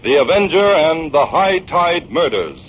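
A man's voice: fairly high pitch, sing-song, unhurried, loud music behind the voice. A man announcing in a dramatic, scripted 1940s radio narration style. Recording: muffled, noisy 1940s radio recording.

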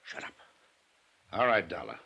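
Impatient radio-drama gang boss: low-pitched, very husky, curt, threatening. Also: male voice, very animated, unhurried, highs cut off, full character voice. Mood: curt, menacing. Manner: curt, hushed, commanding. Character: menacing, impatient crook boss giving orders. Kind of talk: 1950s radio drama acting.

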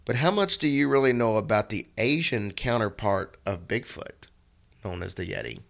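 A man co-hosting a podcast, hoarse and raspy from a cold, talking in an earnest, rambling way. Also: fairly low pitch, very animated, normal speed, a bit bright. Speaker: podcast co-host. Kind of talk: casual chat.

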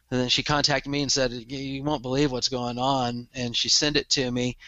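A man's voice: medium pitch, fairly bright tone, dry recording, lo-fi sound. A middle-aged man with a Texan accent, a podcast guest chatting casually and chuckling often as he talks. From Texas. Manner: easygoing, chuckles often, repeats words. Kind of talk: casual interview chat.

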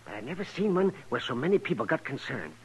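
An actor playing an excitable, folksy Old West town doctor in a 1950s radio western, with a raspy, fussy delivery. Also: male voice, mid-range voice, quick pace, low-quality recording. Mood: excited. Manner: animated, fussy.

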